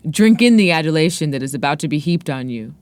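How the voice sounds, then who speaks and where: A woman's voice: sits low, animated, fast, a little mellow, clear, resonant tone, no echo. Woman reading as a grandiose, commanding glamorous woman in fatigues. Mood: fervent, rousing. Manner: grandiose, exhorting. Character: tall, glamorous, commanding young woman in army fatigues, fervent propagandist. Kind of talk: dramatic audiobook-style reading.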